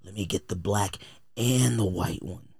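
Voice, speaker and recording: An American man with a low voice, recorded close and dry. He talks like a podcast host sharing opinions, informal and full of slang. His delivery is blunt and conversational. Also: a little slow, gravelly, a little mellow, husky, dry recording. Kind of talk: casual opinionated podcast talk.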